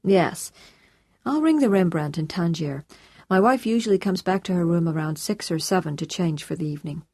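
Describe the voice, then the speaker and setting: A woman voicing a composed, courteous man in an audiobook, with a calm, measured and reassuring manner. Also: fairly low pitch, no echo, studio quality. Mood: controlled, irritated.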